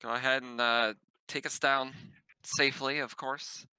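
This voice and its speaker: A man with a very bright, crisp voice plays a tabletop roleplaying game. He talks casually and jokingly, describing his character and speaking for them. The sound is close and dry. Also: medium pitch, little pitch movement, measured pace, no room sound, poor audio.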